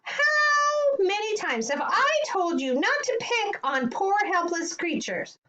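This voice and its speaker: A woman voicing a tiny, excitable old woman in a storybook, with an exclaiming manner. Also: slow pace, full character voice. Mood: scolding. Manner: exclaiming, high-pitched cries. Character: tiny old woman, easily startled yet firm.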